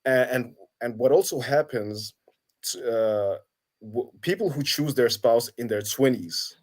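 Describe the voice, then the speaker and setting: A man's voice: mid-range voice, a little mellow. Hesitant man in his thirties. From Kenya. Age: 30s. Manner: halting, many restarts and fillers. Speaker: guest. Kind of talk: casual conversation. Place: Denmark.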